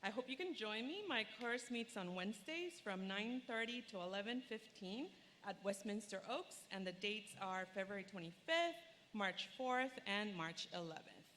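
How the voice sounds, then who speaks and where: A woman's voice: medium pitch, lively intonation, moderate pace, a bit bright. A woman from Venezuela, a native Spanish speaker, introducing her course to an audience in a friendly, enthusiastic way. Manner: friendly, enthusiastic, laughs easily. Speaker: course instructor. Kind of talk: class preview talk. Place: lecture hall, in front of audience. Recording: long hall reverb, faint room noise.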